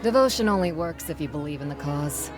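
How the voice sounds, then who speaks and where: An actress playing a spirited young rebel schoolteacher in a video game, plain-spoken, folksy and earnest. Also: female voice, sits low, animated, fairly quick, music in the background. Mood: earnest, defiant. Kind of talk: video game character dialogue.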